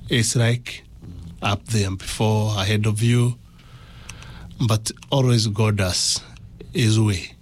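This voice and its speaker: A man with a Kenyan accent giving a personal testimony as an interview guest, in halting, deliberate phrases. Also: lowish voice, steady tone, slight background noise.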